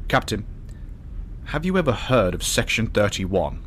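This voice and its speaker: A man playing a measured, thoughtful starship doctor who speaks in calm, reflective science-fiction drama dialogue. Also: on the low side, a little hiss or hum. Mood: cautious.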